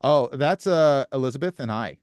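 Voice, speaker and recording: A man in his thirties, a musician guest in a casual interview, soft-spoken and halting, with frequent restarts and small chuckles. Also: mid-range voice, lively intonation, dry recording. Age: 30s.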